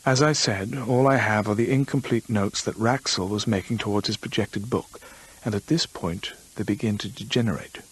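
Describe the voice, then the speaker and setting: An actor playing a grave gentleman storyteller, narrating a radio drama in measured, literary phrases. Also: lowish voice, husky, rough recording. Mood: grave, confiding. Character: measured gentleman storyteller recounting another man's ghostly fate.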